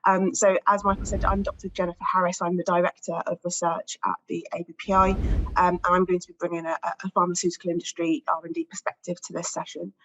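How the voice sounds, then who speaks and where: A woman speaking on an expert panel, slightly croaky from a cold, giving a measured prepared statement with frequent ums and repeated words. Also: fairly low pitch, flat delivery, slight background noise, poor audio. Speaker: research director on an expert panel.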